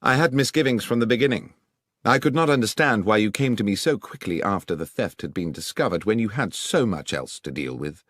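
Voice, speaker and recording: A man's voice: fairly low pitch, animated, a little fast, fairly bright tone, no room sound, studio quality. A man voicing a brilliant, coolly confident detective with a British accent and a precise, assured delivery in an audiobook. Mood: accusing. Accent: British English.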